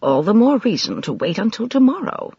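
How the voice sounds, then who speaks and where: A woman reading an audiobook, voicing a calm, sensible older wife who speaks firmly and reasonably. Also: deep voice, measured pace, gravelly, husky, no room sound, exaggerated voice. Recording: dry, slightly dull studio recording.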